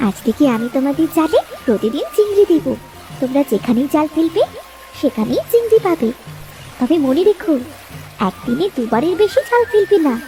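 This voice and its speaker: A woman playing a gentle, solemn magical spirit of light in a fairy-tale cartoon, speaking earnestly. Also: high-pitched, very animated, normal speed, pauses often, over music, rough recording. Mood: kindly, instructive.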